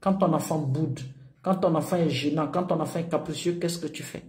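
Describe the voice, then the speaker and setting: A woman's voice: deep voice, fairly quick, reverberant. A woman marriage coach giving advice to callers, checking in with listeners. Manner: warm-toned counsel with repeated "d'accord?".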